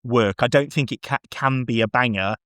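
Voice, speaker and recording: A man chatting casually about films, acting out bits of dialogue as he tells it in an animated way. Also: medium pitch, normal speed, dry recording, studio quality. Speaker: podcast guest film reviewer. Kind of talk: casual, chatty film discussion.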